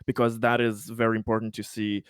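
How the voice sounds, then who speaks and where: A man's voice: lowish voice, monotone, brisk, clean tone, no echo. Hesitant man at a tabletop game, dry sound. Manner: hesitant, repetitive, emphatic explaining. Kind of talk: casual strategic game discussion.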